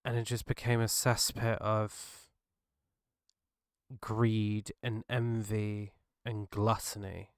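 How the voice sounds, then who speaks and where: Gravelly British man, earnest podcast monologue. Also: lowish voice, flat delivery, dry recording, clean studio sound. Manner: earnest, halting, restarts mid-thought. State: gravelly from a cold. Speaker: podcast host. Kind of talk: solo reflective podcast monologue.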